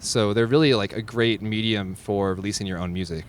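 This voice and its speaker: A man's voice: fairly low pitch, steady tone, a little fast, a little hiss or hum. A man chatting casually in an interview, earnest and informal, thinking aloud as he goes. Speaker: cassette label owner and musician, interview guest.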